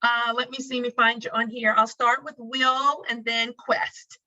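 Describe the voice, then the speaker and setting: A woman's voice: on the high side, flat delivery, unhurried, bright, forceful tone. A woman moderating a panel over a video call, chatty and friendly, with many fillers. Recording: dull lo-fi call audio.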